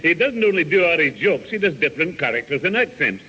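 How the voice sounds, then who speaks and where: A man playing an exasperated but amiable old grandfather with a raspy voice in a British radio sitcom. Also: fairly high pitch, sing-song, fast talker. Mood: amiable, explaining. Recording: dull old radio recording.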